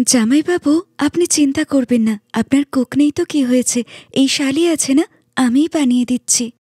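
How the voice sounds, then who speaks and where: An actress plays a humble young village wife and younger sister in a cartoon moral tale. Her voice is high and dry, close and clean. She speaks politely and earnestly, keen to accommodate. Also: normal speed, warm tone, no echo, close to an everyday voice. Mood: eager welcoming. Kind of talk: cartoon moral story acting.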